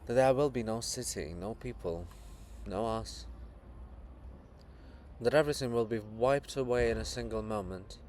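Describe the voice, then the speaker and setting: A man's voice: mid-range voice, normal speed, pauses often, a little mellow, slight background noise, voice pushed down, natural voice. Man reading as a troubled, earnest princess. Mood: afraid, grave. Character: princess Olha, living monument, woman, troubled and earnest.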